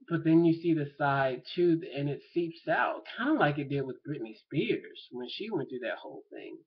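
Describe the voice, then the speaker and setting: A man's voice: fairly high pitch, lo-fi sound. A man talking casually to camera in an opinion vlog, rambling and thinking aloud with lots of fillers. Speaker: vlogger.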